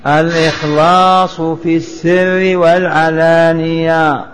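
A man's voice: fairly high pitch, flat delivery, takes it slowly. A man teaching a religious lesson, chanting the text in a sing-song and having it repeated. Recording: long hall reverb.

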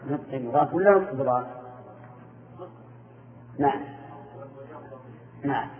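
Tinny echoey man quizzing grammar students. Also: medium pitch. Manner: slow, quizzing, confirming answers with short replies. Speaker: teacher of Arabic grammar. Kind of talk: classroom questioning of students. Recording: echoey room over a tinny phone-like line.

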